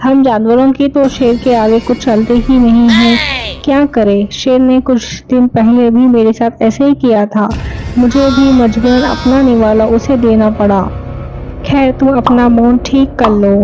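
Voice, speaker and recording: A sympathetic, chummy bear from a cartoon fable, as a woman puts him on, a bit lower than her high voice. He speaks kindly and comfortingly. Music plays in the background. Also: steady tone, unhurried, natural voice, low-quality recording. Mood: consoling. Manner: consoling, chummy. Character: friendly sympathetic male bear.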